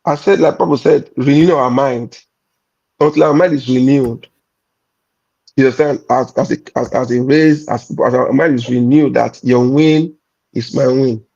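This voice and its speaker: A man's voice: mid-range voice, fairly even intonation, normal speed, creaky, a little mellow, highs cut off. A Nigerian man, a comedian, talking candidly in a conversation, emphatic and repetitive, slipping into Nigerian pidgin.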